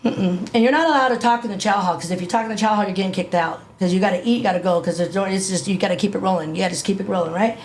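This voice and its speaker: A woman in her fifties telling a gritty personal story in a casual interview, animated, repeating herself and swearing freely. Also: mid-range voice, rapid-fire, hardly any pauses, low-quality recording. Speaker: interview guest.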